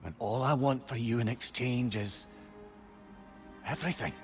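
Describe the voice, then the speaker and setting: A man plays a Scottish-accented sage in a fantasy game, tender and eloquent. His mid-pitched voice carries an earnest, lovelorn feeling, with music underneath. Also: normal speed, close to an everyday voice. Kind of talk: dramatic video game dialogue.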